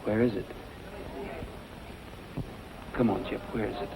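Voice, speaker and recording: An actor playing a kindly, steady young cowboy hero in a 1940s western drama, on a dull, noisy recording. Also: male voice, fairly low pitch, racing, creaky, a crowd in the background. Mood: concerned, confiding. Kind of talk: 1940s film and radio western acting. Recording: dull lo-fi old recording, background noise.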